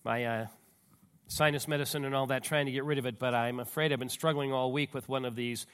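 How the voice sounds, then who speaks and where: A man's voice: mid-range voice, fairly even intonation, brisk, a bit bright, clean tone. A man with a stuffy nose from a cold, preaching a sermon in a folksy, conversational way, with asides and restarts, reading scripture aloud with rising emphasis. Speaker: pastor.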